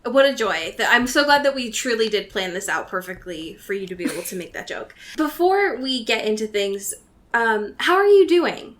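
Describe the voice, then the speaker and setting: A woman's voice: fairly high pitch, big pitch swings, moderate pace, a bit bright. A young woman co-hosting a podcast, teasing and joking casually, often laughing and now and then swearing. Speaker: podcast co-host.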